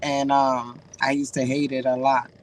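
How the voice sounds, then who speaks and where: A woman's voice: a bass voice, level tone, normal speed, a little hiss or hum, poor audio. A woman with a mix of a Dominican and a Massachusetts accent, chatting casually as a guest on a video call and using lots of slang and fillers. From Dominican family, raised in Massachusetts. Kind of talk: casual chat on a live call. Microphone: remote video call.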